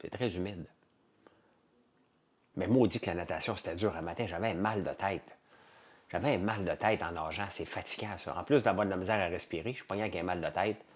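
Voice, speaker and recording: A man's voice: sits low, a little fast. A man with a Quebec French accent chatting casually to livestream viewers, rambling and blunt, in colloquial words and often repeating himself. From Quebec, Canada. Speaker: livestream host.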